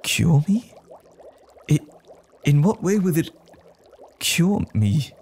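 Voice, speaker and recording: An earnest scientist, acted by a man, hesitant and stammering in an audio drama. His pitch swings very widely at a measured, slow pace. A faint noise sits behind the voice. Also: sing-song, darkish tone, exaggerated voice. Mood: uneasy, questioning.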